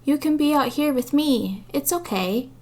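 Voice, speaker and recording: A woman's voice: high-pitched, expressive, measured pace, a little mellow, soft background music, voice pushed up. A woman voicing an earnest, lonely and proud little boy of about six, eager and a bit haughty, in a storybook reading. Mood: friendly, reassuring.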